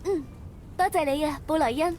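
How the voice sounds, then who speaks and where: A woman's voice: high-pitched, brisk, darkish tone, a little hiss or hum, close to an everyday voice. An actress playing a hesitant young woman unsure of her future in a visual novel. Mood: soft uncertain. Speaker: game character.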